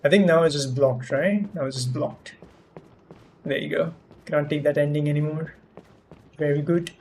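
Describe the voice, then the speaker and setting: A man's voice: a little high, steady tone, moderate pace, creaky, a little mellow, a little hiss or hum. A man giving casual, chatty live commentary as he streams a game, reacting as he goes. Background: game music.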